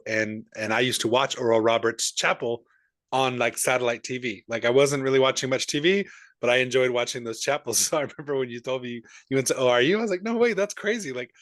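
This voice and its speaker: A man's voice: mid-range voice, a bit bright, no room sound. A male podcast host interviewing a guest in a friendly, upbeat way, with frequent restarts and fillers. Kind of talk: casual interview chat.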